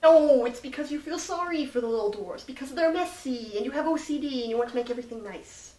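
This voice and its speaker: A woman's voice: high voice, animated, quick pace, warm tone. A woman narrating a student comedy, exasperated and insistent on telling the story as written. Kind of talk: comic narration. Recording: roomy, distant, faint noise.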